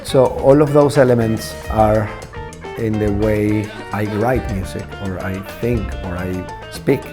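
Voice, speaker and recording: A man's voice: lowish voice, unhurried. A Venezuelan band leader and musician speaking English with a Venezuelan Spanish accent. He speaks Spanish natively and tells his story thoughtfully and earnestly, sometimes searching for his words. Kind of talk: reflective documentary interview. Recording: music playing underneath.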